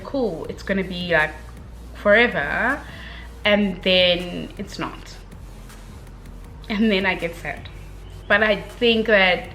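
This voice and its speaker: Expressive, bright-voiced woman chatting about books. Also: medium pitch, poor audio. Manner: expressive, slangy, girlfriend-to-girlfriend address with emphatic check-ins. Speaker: book reviewer vlogger. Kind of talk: casual chatty book review to camera.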